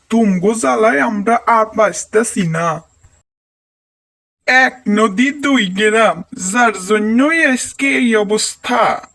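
A man's voice: high voice, fairly bright tone, heavily acted. A man playing a quarrelsome, aggrieved villager in a cartoon. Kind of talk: cartoon dialogue.

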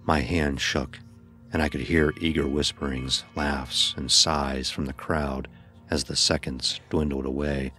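A man playing an anguished blind youth, telling his ordeal in grave, dramatic, deliberate first-person horror narration. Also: a bass voice, steady tone, sharp tone, soft background music, full character voice.

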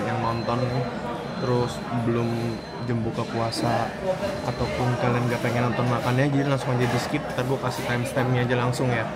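A young man, chatting to a handheld camera as he eats, travels and shows off a hotel room. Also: mid-range voice. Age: young adult. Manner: chatty, talking to camera while walking. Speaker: vlogger. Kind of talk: casual travel vlog chat. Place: airport food court, car, small hotel room. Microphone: handheld camera at arm's length.

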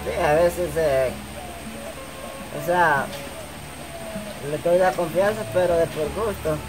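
A man's voice: on the high side, slow pace, over music, low-quality recording. A young man playing a serious, reluctant lender in an amateur drama, hesitant and repetitive, recorded outdoors. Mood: serious, let down. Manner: hesitant, repeats himself. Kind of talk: amateur acted family drama, improvised dialogue. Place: outdoors in a rural yard under trees. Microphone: camera a few metres away.

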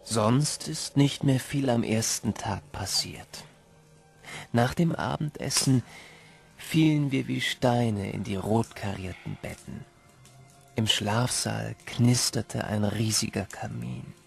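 Slow, gravelly male storyteller. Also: mid-range voice, soft background music. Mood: wistful, reflective. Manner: measured, literary, reflective. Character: wistful male storyteller recalling his boyhood. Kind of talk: scripted audio drama narration.

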